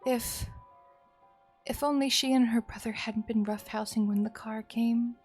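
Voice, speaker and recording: A woman streamer reading a horror visual novel aloud as expressive narration. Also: a little high, a little slow, breathy edge. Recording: music behind the voice. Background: music.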